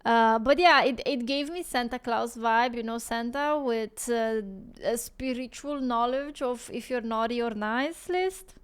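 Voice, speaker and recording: A woman with a Romanian accent hosts her reaction channel in a loose, chatty way. Her voice sits a little high and comes through smooth and clear. She thinks out loud and talks straight to the camera. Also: measured pace, hardly any pauses. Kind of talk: casual chat to camera.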